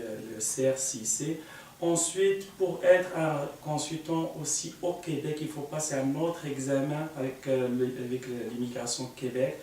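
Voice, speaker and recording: A man's voice: mid-range voice, darkish tone, a little hiss or hum. A man from Morocco, an immigration consultant, earnestly explaining on a studio panel step by step, with many fillers. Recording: echoey room, mic far off.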